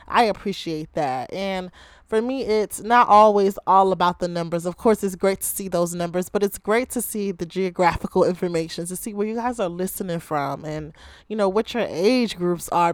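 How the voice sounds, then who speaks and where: A young woman in her twenties from Baltimore hosts her own podcast, talking casually and chattily with a slightly hoarse voice that is still coming back. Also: lowish voice, no echo, clean studio sound. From Baltimore, Maryland, USA. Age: 20s. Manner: chatty, heavy use of "like" and "you know". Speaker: podcast host. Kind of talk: casual solo podcast chat.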